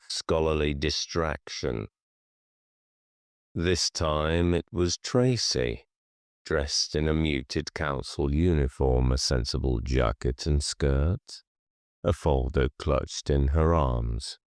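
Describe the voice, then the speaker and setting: A man narrating horror fiction for an audiobook in a measured, deliberate, hushed storytelling manner. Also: very deep voice, clean tone, no room sound, clean studio sound.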